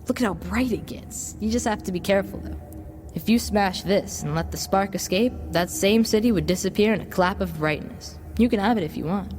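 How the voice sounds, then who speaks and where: A woman playing a smooth-tongued devil boy in an audio drama, coaxing like a salesman and offering tempting gifts. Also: medium pitch, a little fast, darkish tone, soft background music, lightly acted. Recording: long reverb, background noise.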